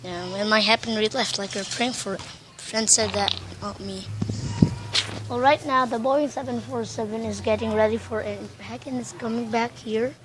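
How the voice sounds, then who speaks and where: A woman with a Filipino accent talks through a casual vlog in a rambling, excitable way, thinking out loud as she films outdoors on a handheld phone. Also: fairly high pitch, moderate pace, background noise. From Philippines. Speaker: vlogger.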